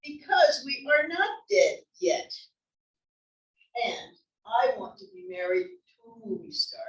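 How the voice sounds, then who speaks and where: A woman's voice: sits high, very animated, slow pace, gravelly, highs cut off, full character voice. A woman reading the part of a cheerful, adventurous older wife in a comedy play. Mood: eager, teasing. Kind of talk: table read of a comedy play. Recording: roomy, distant library table-read recording.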